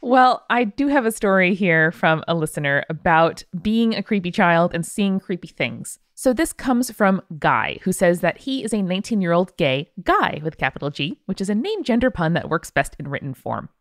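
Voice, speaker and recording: A woman's voice: lowish voice, very animated, normal speed, few pauses, no room sound. A woman hosting a podcast, chatting casually with dry, teasing humor and reading out a listener's email. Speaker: podcast host.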